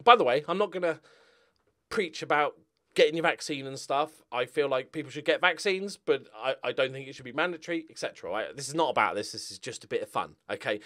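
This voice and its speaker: A man in his forties chatting casually and humorously to viewers as a channel host, animated and jokey. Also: a little high. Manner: animated, jokey, sweary, chuckling. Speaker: YouTube gaming channel host. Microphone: desk condenser microphone up close.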